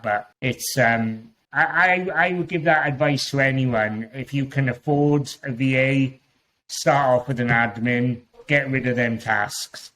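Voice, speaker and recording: A man's voice: mid-range voice, a bit bright. Chatty Welsh man with a strong accent. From Wales. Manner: chatty, rambling, with frequent restarts and laughs. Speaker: guest Amazon seller. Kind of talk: casual podcast interview.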